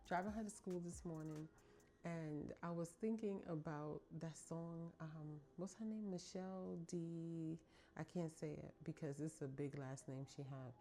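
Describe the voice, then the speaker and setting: A woman's voice: low-pitched, unhurried, mellow, slight background noise. A middle-aged woman hosting a podcast, talking to her listeners in a casual, heartfelt monologue with a chatty, emphatic manner. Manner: chatty and emphatic, addresses listeners as ladies and y'all. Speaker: podcast host.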